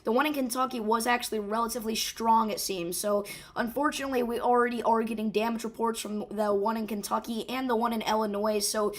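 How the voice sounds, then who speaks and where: Woman, hoarse rough voice, live storm coverage host, urgent, casual, filler-heavy. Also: fairly high pitch, steady tone, quick pace, few pauses. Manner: urgent, informal, many fillers and restarts. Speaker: severe weather livestream host. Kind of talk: live weather coverage stream.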